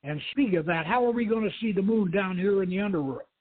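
A man's voice: sits high, fast talker, husky. A man voicing a practical, curious male adventurer in a storybook, matter-of-fact, over a thin phone-like line. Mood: practical, questioning. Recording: thin phone-like radio line, roomy echo.

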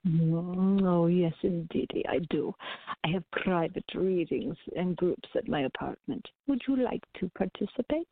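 A woman's voice: fairly low pitch, a little slow, gravelly, no echo. A woman playing a seductive, mysterious cat-worshipping spiritual healer in a radio play, purring and formal. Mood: sultry, serene. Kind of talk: amateur radio play acting. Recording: dull lo-fi audio.

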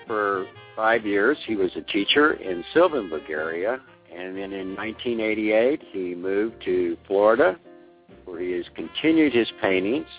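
A man hosting an interview show, reading a polished intro and asking questions. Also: on the low side. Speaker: radio show host. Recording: tinny phone-like audio with faint music.

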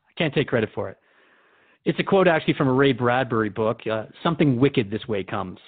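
A man's voice: medium pitch, fairly quick, close to an everyday voice. A man playing an earnest, unsettled radio host who asks questions in a conversational, hesitant way in a radio drama. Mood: thoughtful. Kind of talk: radio drama dialogue in interview form. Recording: dull, lo-fi sound.

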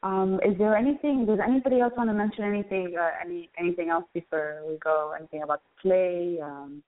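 A woman's voice: lowish voice, fairly even intonation, quick pace. A woman hosting a show with casual, informal interview chat and a slangy touch. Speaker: radio show host. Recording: tinny phone-line radio sound.

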